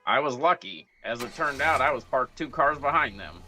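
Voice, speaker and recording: A man's voice: medium pitch, expressive, normal speed, bright, forceful tone, soft background music. A man playing a hard-boiled 1940s private detective, narrating his case in a matter-of-fact, clipped radio noir style.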